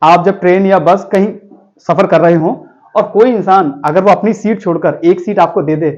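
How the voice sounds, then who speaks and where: High-voiced jokey male vocabulary teacher. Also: normal speed, darkish tone, highs cut off. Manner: chatty, jokey, addresses students as children and friends. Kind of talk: classroom-style vocabulary lesson with jokes.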